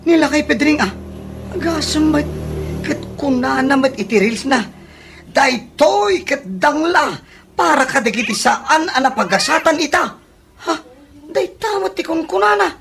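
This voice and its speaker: A woman's voice: high voice, lively intonation, unhurried, a bit bright, a little hiss or hum, heavily acted. A woman with an Ilocano accent playing a touchy, flustered woman in a comedy radio drama. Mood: puzzled, gossipy.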